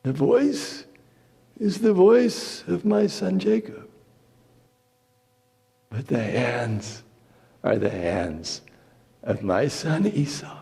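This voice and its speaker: A man's voice: on the high side, lively intonation, extremely slow, gravelly, pauses often, dark tone, breathy edge, heavily acted. Man acting a solemn old patriarch, big echo. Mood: searching, tender. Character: old blind patriarch father, solemn, blessing his son. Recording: reverberant church hall.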